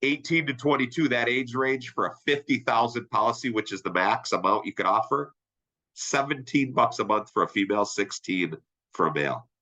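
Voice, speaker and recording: A man's voice: mid-range voice, expressive, moderate pace, pushed and bright, low-quality recording. A middle-aged man with a congested, nasal voice, presenting a sales training webinar in a conversational way and often checking in with his listeners. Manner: upbeat, conversational, asks "right?" often. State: congested, sinus infection.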